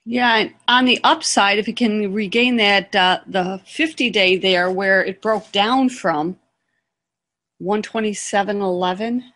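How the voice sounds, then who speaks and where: A woman's voice: mid-range voice, a little slow, a bit bright. A woman co-hosting a stock trading show, adding brief, matter-of-fact market remarks. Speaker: co-host. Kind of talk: live market commentary.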